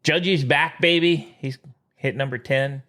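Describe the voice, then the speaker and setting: A man co-hosting a podcast, chatting casually about baseball in a lively, joking, teasing way. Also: mid-range voice, a little slow. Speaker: podcast co-host. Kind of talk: casual sports chat.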